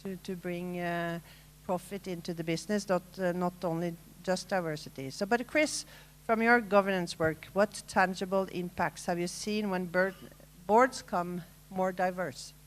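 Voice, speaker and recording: A woman moderating a conference panel and handing the next question to a panelist. She speaks hesitantly and fills her pauses with frequent uh's. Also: on the low side, fairly even intonation. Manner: hesitant, many "uh" fillers.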